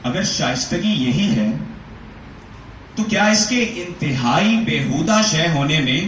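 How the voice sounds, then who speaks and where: Slow, dramatic male essay reader. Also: fairly high pitch, animated, fairly bright tone. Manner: measured, rhetorical, questioning delivery. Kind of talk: dramatic reading of Urdu prose. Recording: echoey room, voice from a distance, faint noise.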